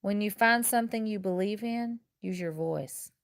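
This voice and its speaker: A woman's voice: medium pitch, moderate pace, creaky, clear, resonant tone, no room sound. Woman reading a children's storybook. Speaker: teacher reading a picture book aloud. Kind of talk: expressive children's storybook read-aloud in first person.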